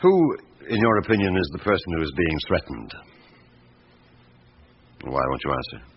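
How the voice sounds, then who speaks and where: An actor playing a brooding, weary middle-aged chief inspector in a radio drama, gruff, musing and unhurried. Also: male voice, sits low, very animated, muffled recording. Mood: probing. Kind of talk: radio drama acting with inner monologue. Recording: noisy band-limited radio broadcast recording.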